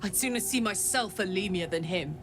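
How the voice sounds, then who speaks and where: A woman's voice: medium pitch, a little fast, over music, close to an everyday voice. An actress playing a wary, world-weary woman in a video game, speaking earnestly and with emphasis. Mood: earnest, bitter. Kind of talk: video game character dialogue.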